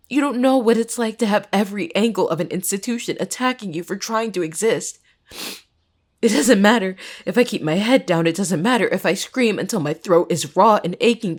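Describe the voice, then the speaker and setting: A woman playing a determined, embittered young student, sharp-tongued and sarcastic, in a dramatic audio roleplay. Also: deep voice. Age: young adult. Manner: sarcastic, emphatic, swearing.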